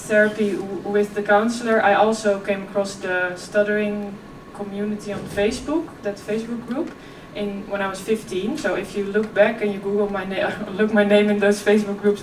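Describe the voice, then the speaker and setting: A woman's voice: mid-range voice, level tone, moderate pace, few pauses. Young woman with a stutter, echoey hall. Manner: stutters, casual with many ums. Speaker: keynote speaker. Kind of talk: live keynote talk. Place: large conference hall. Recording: echoey hall, distant mic, audience noise.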